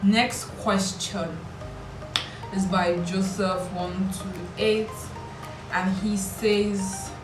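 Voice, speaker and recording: A woman's voice: medium pitch, flat delivery, drawn-out words. A woman in her twenties from Lagos with a Nigerian accent, chatting casually and playfully to camera as she answers questions. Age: 20s. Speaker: vlogger. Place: small indoor room with bare walls. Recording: roomy echo, distant mic, background music.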